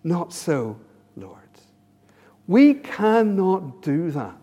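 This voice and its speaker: English guy preaching, high voice, earnest, keeps asking the room questions, long hall echo. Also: sing-song, extremely slow, dark tone. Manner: earnest, rhetorical questions, repeats words when starting phrases. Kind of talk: sermon. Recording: reverberant hall.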